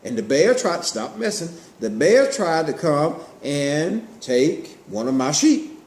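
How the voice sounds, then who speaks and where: A man with a fairly high, very lively voice tells Bible stories to children. He uses simple words, repeats phrases for emphasis and puts questions to his listeners. The room echoes and there is clear noise behind him. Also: a little slow. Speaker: storyteller, puppet show host. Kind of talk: animated children's Bible storytelling, asking questions. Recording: roomy echo, clear background noise.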